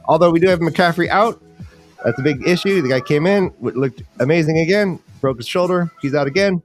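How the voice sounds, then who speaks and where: A man's voice: fairly high pitch, a little fast, faint music behind. A man hosting a fantasy football livestream with casual, jokey banter and game previews, rambling and repeating phrases for emphasis.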